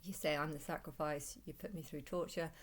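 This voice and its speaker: Low-voiced woman, halting and rambling. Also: steady tone. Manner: halting, repeats and restarts phrases often. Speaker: vlogger. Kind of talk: rambling personal monologue.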